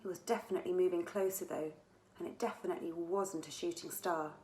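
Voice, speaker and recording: A woman reading a children's story aloud as its author, in an expressive storytelling manner. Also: lowish voice, fast talker. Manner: expressive, with dramatic character dialogue. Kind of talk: scripted children's story narration. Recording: echoey room sound.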